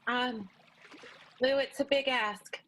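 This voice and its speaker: Dramatic starlet wife, fairly high voice, hesitant, adoring, timid, radio soap comedy. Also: female voice, fairly even intonation, slow pace, fairly bright tone, heavily acted. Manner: hesitant, melodramatic. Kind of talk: improvised radio soap opera acting.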